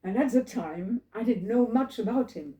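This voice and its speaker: A woman's voice: fairly high pitch, lively intonation, normal speed, warm tone, lo-fi sound. An elderly woman from France, speaking English, telling her life story to a small group in a reflective, digressive way and asking them questions. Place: living room. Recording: roomy living room.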